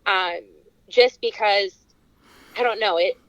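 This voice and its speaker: A woman's voice: mid-range voice, takes it slowly, a bit bright, no room sound, dull audio. A woman from California speaking as a podcast guest, telling personal stories in a casual way. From California, United States.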